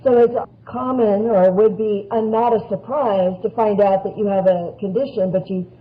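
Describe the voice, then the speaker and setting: A woman's voice: mid-range voice, fairly even intonation, moderate pace. A woman speaking as a guest expert, explaining practical matters in a plain-spoken, conversational way. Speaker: nurse, podcast guest. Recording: dull lo-fi podcast audio.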